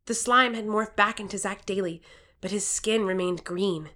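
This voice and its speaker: A woman voicing an earnest, expressive 13-year-old game-show contestant who narrates their own story in an audio drama. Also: mid-range voice, moderate pace, close to an everyday voice. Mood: uneasy.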